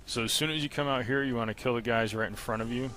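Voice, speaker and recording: A man's voice: mid-range voice, steady tone, quick pace, low-quality recording. A man giving casual, step-by-step instructional commentary over a video game walkthrough. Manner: explanatory, step-by-step. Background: game gunfire and music.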